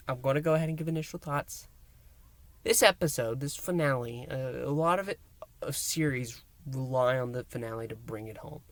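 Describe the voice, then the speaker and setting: Theatrical male reviewer, higher-pitched voice. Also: no echo. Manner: theatrical, emphatic, with dramatic pauses. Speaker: host, reviewer. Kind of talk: enthusiastic scripted-style recap and review to listeners.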